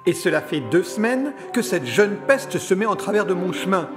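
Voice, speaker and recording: A man's voice: high voice, big pitch swings, normal speed, loud music behind the voice. An actor playing a condescending villainous scientist in his forties, formal and courteous in a smug, controlling way, in an audio drama. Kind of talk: scripted audio drama acting.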